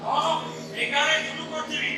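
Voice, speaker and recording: Man acting very high-voiced greedy, stubborn man. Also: fairly even intonation, quick pace. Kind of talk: amateur stage play acting. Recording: very echoey hall, distant mic, loud music.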